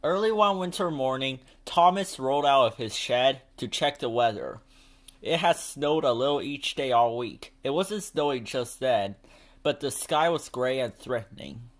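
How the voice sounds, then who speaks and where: A man's voice: mid-range voice, expressive, moderate pace. A man narrating a children's storybook as a read-aloud.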